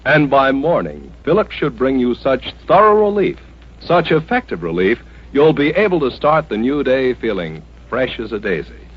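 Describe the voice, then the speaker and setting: Husky, lively old-time radio announcer man. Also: medium pitch, moderate pace. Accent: American. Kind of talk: old-time American radio advertising read. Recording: dull old radio recording.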